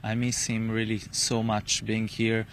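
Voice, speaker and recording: A man's voice: fairly low pitch, completely flat delivery, measured pace. An Israeli-accented man, a native Hebrew speaker, giving earnest, emphatic interview answers in English outdoors. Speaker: interviewee, hostage's grandson. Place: outdoors near a rural kibbutz. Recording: noisy outdoor field recording.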